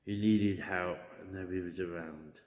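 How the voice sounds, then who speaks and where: Deep-voiced English man, gentle ghost hunter. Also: steady tone, normal speed. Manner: gentle, chatty, reassuring. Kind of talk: casual talk to spirits. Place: old church interior. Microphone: handheld phone camera. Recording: echoey church with long reverb.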